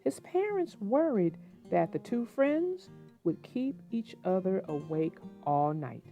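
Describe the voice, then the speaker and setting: Slow, animated woman storyteller. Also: mid-range voice, highs cut off. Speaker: host and storybook narrator. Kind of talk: children's read-aloud narration. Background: music, plucked guitar.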